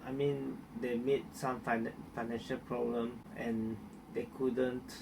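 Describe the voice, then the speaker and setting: Slow, hesitant Malaysian man, gravelly voice. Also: medium pitch, flat delivery, distant mic, background noise. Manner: hesitant, many restarts and fillers. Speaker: interviewee. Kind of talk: casual interview answers.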